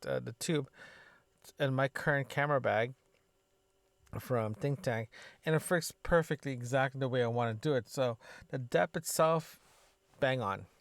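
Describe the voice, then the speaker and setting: A man's voice: mid-range voice, vocal fry, dry recording. A man talking casually into a podcast microphone as a solo audio diary, rambling, often circling back and correcting himself. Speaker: podcaster, system designer.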